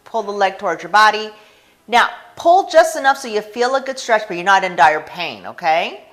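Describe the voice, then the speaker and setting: A chiropractor with an American accent leads a stretching routine in a calm, steady, mid-pitched woman's voice. She paces each hold with breathing cues and gentle instructions. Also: moderate pace.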